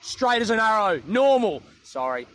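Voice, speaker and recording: A man's voice: high-pitched, big pitch swings, moderate pace, a bit bright, a little hiss or hum. Sweary man rambling outdoors. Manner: sweary, self-mocking, laughs often. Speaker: comedian podcaster. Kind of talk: casual rambling monologue. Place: outdoors, walking through a park.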